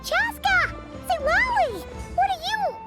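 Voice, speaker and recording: Tiny childlike game fairy, squeaky and chirpy, hugely animated, loud music underneath. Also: female voice, takes it slowly, full character voice. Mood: excited, curious. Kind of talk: video game voice acting.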